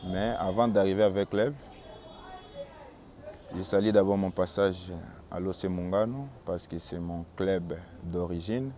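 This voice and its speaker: A young man from Bukavu with a Congolese French accent, Swahili his first language, calmly answering interview questions as a football goalkeeper. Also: low-pitched, slight background noise. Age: young adult. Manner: calm and measured, with small restarts.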